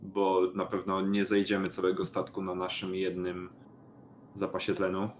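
A man's voice: low voice, monotone, moderate pace, slight background noise. A man playing a tabletop role-playing game, talking through plans in character.

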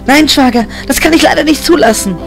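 A man voicing a high, creaky, desperate female angel. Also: brisk, over music. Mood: desperate defiance. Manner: panicked, pleading. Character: desperate grieving female angel, pleading yet defiant. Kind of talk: audiobook character acting.

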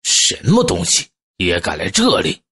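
A woman voicing a haughty, irritable middle-aged gentleman in an audiobook, curt and dismissive. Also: a bass voice, huge pitch swings, unhurried, breathy edge, pitched below the performer's own voice, exaggerated voice. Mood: scornful. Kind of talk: audiobook character acting.

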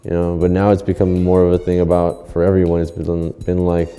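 Low, dark-voiced Los man. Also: monotone, fairly quick, clean tone. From Los Angeles. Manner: laid-back street slang, rambling restarts. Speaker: graffiti artist. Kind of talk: interview monologue. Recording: long hall reverb, faint music.

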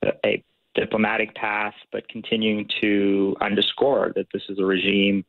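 A man giving measured, policy-focused analysis as a guest in a radio interview, heard over a phone line. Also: lowish voice, monotone, vocal fry, no room sound.

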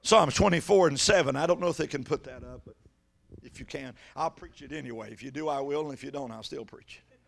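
A middle-aged man preaching an impassioned Pentecostal sermon into a handheld microphone, exhorting and swinging between conversational asides and shouts. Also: medium pitch, fast, creaky. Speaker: evangelist preaching. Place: church sanctuary stage at a pulpit.

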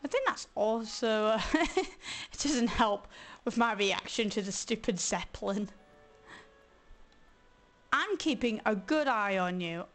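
A hoarse woman chatting casually as she streams a video game, thinking aloud and grumbling playfully. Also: medium pitch, animated, takes it slowly, a bit bright, soft background music. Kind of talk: casual let's-play commentary.

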